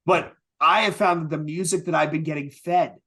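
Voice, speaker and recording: A man hosting a podcast, talking in an energetic, rambling way with casual swearing. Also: fairly high pitch, a little fast. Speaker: podcast host. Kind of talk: casual chat.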